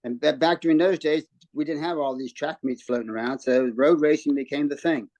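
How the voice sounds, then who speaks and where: A man in his seventies from West Virginia, a guest telling his story in a relaxed way from a hotel room. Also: a little high, a little fast, no room sound. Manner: relaxed storytelling with many ums and restarts. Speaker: guest, former runner and coach. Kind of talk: casual interview chat.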